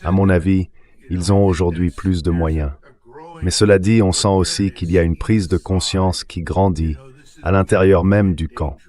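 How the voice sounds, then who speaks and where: A man's voice: sits low, fairly even intonation, moderate pace, warm tone. A man's synthetic dubbing voice giving political commentary as an interview guest.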